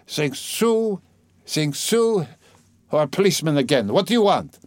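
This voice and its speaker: Man voicing a frantic, haughty, high-pitched gentlewoman. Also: very animated, measured pace, no echo, studio quality. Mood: frantic, scolding. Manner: lofty, wailing, rambling, abruptly switching to scolding. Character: distraught, haughty, ailing impoverished gentlewoman, frantic and scolding. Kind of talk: dramatised audiobook character reading.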